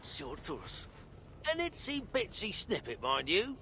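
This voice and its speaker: An actor playing a stubborn, eccentric old dwarf inventor in a video game, musing in folksy, rambling, colloquial speech. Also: male voice, high voice, huge pitch swings, slow, bright, forceful tone, a little hiss or hum, full character voice. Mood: musing, wry. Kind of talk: dramatic video game acting.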